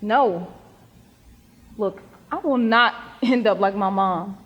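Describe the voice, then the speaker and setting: A woman playing a determined, defensive high school girl athlete in a stage play. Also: slow, highs cut off. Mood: determined, weary. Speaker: stage actor. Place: theatre stage, recorded in the hall. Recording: echoey hall with long reverb.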